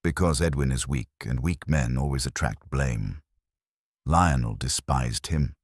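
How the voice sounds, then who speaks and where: Man voicing a proud, coolly sardonic woman, low and husky. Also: a little slow, no echo, studio quality. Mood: sharp, bitter. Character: composed, sharp-tongued, proud woman suspected of deception.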